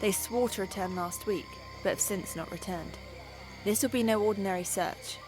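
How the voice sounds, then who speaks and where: A woman's voice: mid-range voice, normal speed, music in the background, natural voice. A woman playing a businesslike half-elf scout in a fantasy audio drama, talking in a conversational, matter-of-fact way. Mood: serious.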